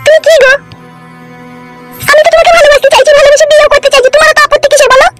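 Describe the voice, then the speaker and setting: A woman's voice: very high voice, flat delivery, rapid-fire, over loud music. A woman voicing a lovesick, pleading, insistent young woman in an amateur comedy cartoon.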